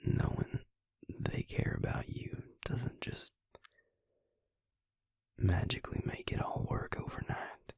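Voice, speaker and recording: A man playing a gentle, devoted vampire lover in an intimate roleplay audio, speaking tenderly and haltingly in a breathy, gravelly near-whisper. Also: no echo, studio quality, lightly acted. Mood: tender, reassuring. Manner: slow, tender, halting delivery.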